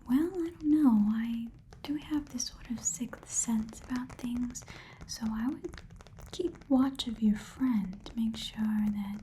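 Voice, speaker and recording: A woman voicing a chatty, haggling goblin pawn shop keeper in a breathy whispered ASMR roleplay, with casual muttered asides. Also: on the high side, dark tone, a little hiss or hum.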